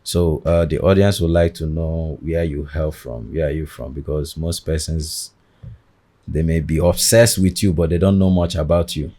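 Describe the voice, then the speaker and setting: A man with a Nigerian accent hosting a studio podcast interview, with a formal, deliberate presenter delivery. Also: very deep voice, fairly even intonation, dark tone. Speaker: podcast host.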